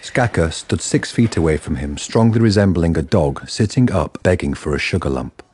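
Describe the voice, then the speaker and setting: A man's voice: sits low, expressive, moderate pace, darkish tone, a little hiss or hum. A man delivering scripted narration for a radio drama. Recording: faint music underneath.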